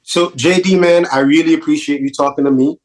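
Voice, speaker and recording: A man with a Haitian accent tinged by New York, hosting a relaxed interview. His voice sits a touch high for a man, and he talks in an animated, self-deprecating way, often repeating his phrases. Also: a little fast. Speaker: podcast host. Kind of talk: casual interview chat.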